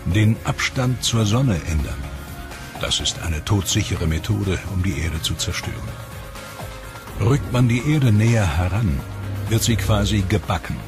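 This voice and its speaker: A man with a very low, deep voice narrates a science documentary in a measured, dramatic way. His reading is scripted and steady. Music plays clearly beneath him. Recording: voice-over with background music.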